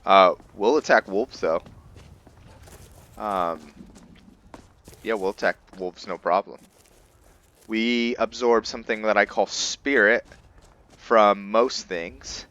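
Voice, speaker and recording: A man's voice: fairly low pitch, fairly even intonation, slow pace, long pauses, fairly bright tone, dull audio. A man giving casual gameplay commentary as a let's player, thinking aloud in short bursts.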